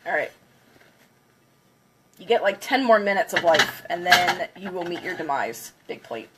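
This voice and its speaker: A woman's voice: fairly low pitch, animated, unhurried, heavy background noise. A congested woman chatting casually on a livestream, counting down before smashing plates. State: congested from a cold. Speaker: craft livestreamer.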